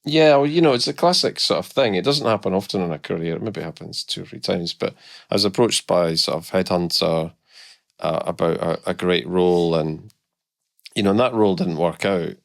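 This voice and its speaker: A man's voice: sits low, a little fast, gravelly, no echo, studio quality. A middle-aged man from Scotland with a Scottish accent, a guest talking candidly and reflectively about his career, with hesitant restarts. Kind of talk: casual podcast interview.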